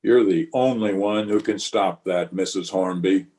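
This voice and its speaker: A man plays a steadfast Old West marshal in a radio drama. His voice is fairly low and very slow, with a grave, measured and courteous manner, calm and a little weary. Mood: grave, firm. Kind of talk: old-time radio western acting.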